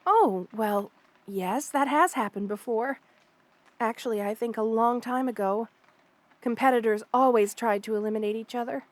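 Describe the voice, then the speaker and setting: A woman voicing a kind, motherly spider queen in an ASMR roleplay, soft-spoken, doting and reassuring as she speaks to the listener. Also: fairly high pitch, normal speed, no room sound, lightly acted. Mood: calm, explaining. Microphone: close studio mic, dry.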